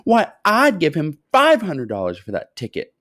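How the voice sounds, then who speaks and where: Man doing a shrill indignant woman. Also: huge pitch swings, moderate pace, no echo, clean studio sound. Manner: shouting, indignant. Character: loud indignant woman in a crowd.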